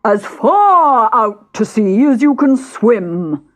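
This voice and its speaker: Woman putting on a tearful old storybook turtle, hollow and sighing, lively swoops, drawn out. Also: a little high, slow pace, voice pushed up. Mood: eager. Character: weepy sorrowful old male Mock Turtle.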